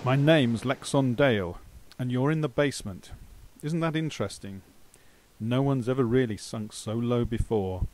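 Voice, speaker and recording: A man's voice: mid-range voice, moderate pace, darkish tone, close to an everyday voice. A man playing an irritable, sarcastic boss of a talking machine in an amateur radio drama. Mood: wry, exasperated. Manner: sarcastic, exasperated.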